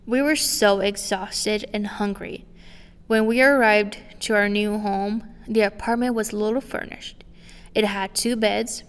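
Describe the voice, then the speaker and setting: A woman in her twenties, born in Romania and a native Romanian speaker, calmly reading her own story as scripted narration. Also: mid-range voice, creaky, smooth. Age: 20s. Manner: calm, reflective, read aloud line by line. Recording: long reverb with faint music behind.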